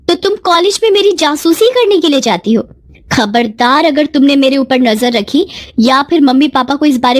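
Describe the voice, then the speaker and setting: Woman acting spoiled young daughter, high pitch, scornful, defiant, haughty complaining, storybook drama. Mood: threatening.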